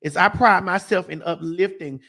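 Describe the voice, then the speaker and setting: A talk-show host ranting in heated, profane, emphatic casual talk. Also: female voice, lowish voice, normal speed, fairly bright tone.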